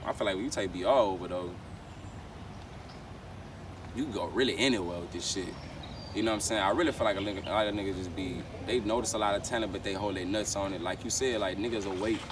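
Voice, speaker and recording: A young man, a rapper chatting casually in street slang in an interview, rambling and repeating his phrases. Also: deep voice. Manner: rambling, repeats phrases, frequent "you know what I'm saying". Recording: distant mic, clear outdoor traffic noise.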